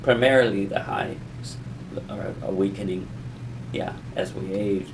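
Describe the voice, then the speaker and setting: A man giving an informal conversational lesson as an explainer, in a halting, rambling way with self-repetition. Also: deep voice, noisy background. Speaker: audio explainer teaching beginners.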